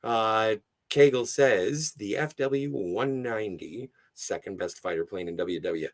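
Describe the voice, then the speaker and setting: A hoarse man hosting a casual livestream, rambling and easily sidetracked as he chats and reads out viewer comments. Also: fairly low pitch, a little slow. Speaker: livestream host.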